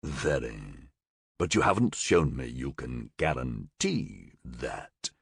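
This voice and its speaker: A man voices a gurgling half-animal monster in an audiobook, sardonic and taunting, dragging each line out. The tone sits deep and husky, with wide swoops of pitch. The room is dead dry. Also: sing-song, takes it slowly, a bit bright, no room sound, heavily acted.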